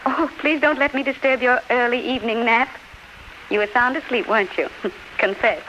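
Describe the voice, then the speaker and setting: A woman's voice: a little high, normal speed, fairly bright tone, lightly acted. A woman in a 1930s radio drama playing a gracious, tender English duchess, refined, polite and full of feeling. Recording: muffled, noisy 1930s radio recording.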